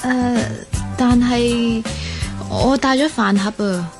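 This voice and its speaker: A woman's voice: a little high, slow, music in the background. An actress playing a modest, reserved young office woman in a Cantonese radio drama. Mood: shy, wistful. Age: young adult.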